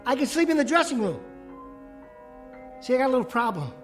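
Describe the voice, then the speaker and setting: Jittery ventriloquist: haunted, insistent, stammering pleas, radio drama with faint music. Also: male voice, very high voice, sing-song, fairly quick. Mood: uneasy, wheedling. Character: jittery, haunted male ventriloquist pleading for company. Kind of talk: radio play acting.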